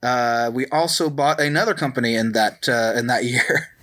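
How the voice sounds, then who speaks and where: A man in casual podcast conversation, speaking in a halting, rambling way full of "like". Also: medium pitch, a bit bright. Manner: halting, rambling, repeats "like" heavily.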